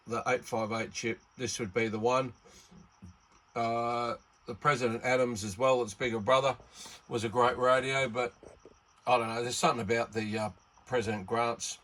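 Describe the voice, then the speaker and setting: A man's voice: medium pitch, a bit bright, slight background noise, poor audio. A middle-aged man chatting casually about old radios in a rambling, enthusiastic way, with lots of fillers. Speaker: radio hobbyist vlogger. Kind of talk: casual show-and-tell vlog.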